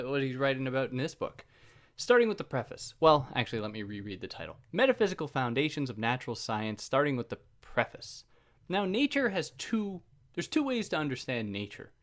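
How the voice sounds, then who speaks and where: A man's voice: mid-range voice, very animated, a little fast, fairly bright tone. Male podcast host, conversational lecture delivery, easygoing and self-deprecating, prone to tangents. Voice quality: soothing voice. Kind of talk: casual explanatory lecture.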